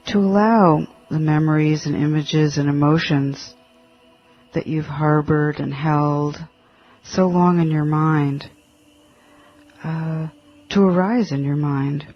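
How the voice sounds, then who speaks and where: A man leading a guided meditation in a gentle, halting manner, leaving long pauses between short phrases. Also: fairly high pitch. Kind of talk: guided meditation, spoken instructions. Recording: dull lo-fi audio with faint music.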